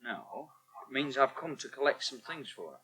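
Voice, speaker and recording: An actor playing a defensive, straight-talking former boyfriend in a naturalistic radio soap, direct and terse. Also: male voice, medium pitch, sing-song, normal speed, pushed and bright, poor audio.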